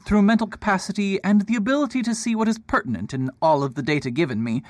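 An actor playing a proud, pompous detective in a radio drama, lofty and defensive in manner. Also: male voice, high-pitched, lively intonation, quick pace, dry recording. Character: proud pompous male detective, middle-aged.

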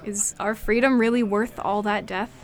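A woman playing a cool, brooding female ex-rebel in an audio drama, measured and earnest. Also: medium pitch, fairly even intonation, natural voice. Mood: regretful.

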